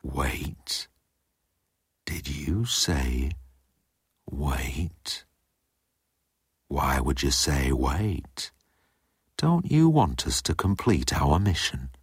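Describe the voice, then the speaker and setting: A man's voice: extremely low pitch, very animated, drawn-out words, pauses often, slightly rough, no room sound, studio quality, pitched below the performer's own voice, exaggerated voice. A man voicing a pompous, menacing alien commander who speaks with pedantic deliberation in an audiobook.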